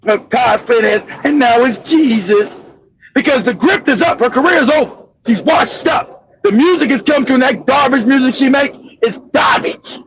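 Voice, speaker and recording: A man hosting a show, delivering a loud, profane, mocking commentary rant. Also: extremely high pitch, moderate pace, lo-fi sound, a little hiss or hum. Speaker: show host.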